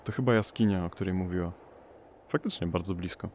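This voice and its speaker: A man playing a somber investigator who narrates an audio drama in a measured, observational way. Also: low voice, background noise, lightly acted. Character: somber male investigator searching a storm-struck family home. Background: music behind the voice.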